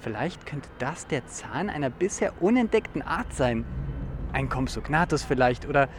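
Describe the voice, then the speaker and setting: A man acting an eager, excitable young dinosaur enthusiast in a youth radio play, explaining things dramatically. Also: mid-range voice, moderate pace, noisy background, natural voice. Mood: excited. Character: eager, excitable young male dinosaur fan named Michel. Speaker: actor in an educational audio drama.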